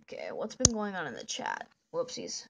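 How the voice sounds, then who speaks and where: A woman streaming live, chatting with viewers in a soft, meandering way while she draws, with a voice that is deep for a woman. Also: huge pitch swings, moderate pace, vocal fry, heavy background noise. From northern United States. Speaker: livestreamer. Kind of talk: casual chat while drawing on a livestream.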